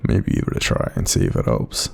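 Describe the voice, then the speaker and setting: Casting: male actor as supportive Australian night demon for roleplay audio, breathy and husky, lively intonation, tender and reassuring. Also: a little fast, exaggerated voice. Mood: soothing, reassuring. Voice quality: very deep, gravelly, breathy. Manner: gentle, reassuring, informal. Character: kind, supportive male sleep paralysis demon watching over someone. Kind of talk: soothing roleplay audio monologue.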